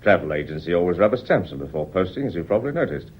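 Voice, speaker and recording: A sly British police inspector in a radio play, with a husky voice that swoops up and down. He is politely insinuating, all false deference, heard on a muffled radio recording. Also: deep voice, very animated, racing, exaggerated voice. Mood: probing, mock casual. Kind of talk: British radio drama acting.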